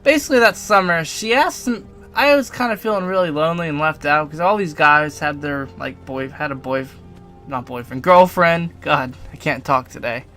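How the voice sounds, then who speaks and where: A man's voice: a little high, moderate pace, bright, forceful tone, faint music behind. A young adult man telling a personal story casually, partly from a script, with informal, self-deprecating asides and some swearing. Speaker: storytime video narrator.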